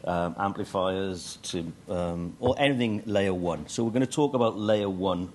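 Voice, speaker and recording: A man's voice: sits low, unhurried, a little mellow. A middle-aged man presenting a technical talk at a conference, with dry humour and frequent ums and uhs. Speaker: conference speaker. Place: conference hall stage.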